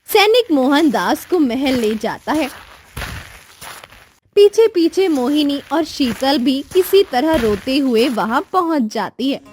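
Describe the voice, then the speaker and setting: Slow, high-voiced woman narrator. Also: very animated, darkish tone, faint music behind. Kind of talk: scripted story narration.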